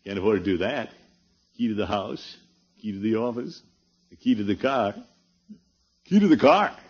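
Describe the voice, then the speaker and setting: A man's voice: fairly low pitch, lively intonation, moderate pace, darkish tone, husky. An actor playing a pompous, easily flustered middle-aged uncle in a 1950s radio sitcom, blustering and drawing out his words with nervous chuckles. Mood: complacent. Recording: muffled, noisy old radio recording.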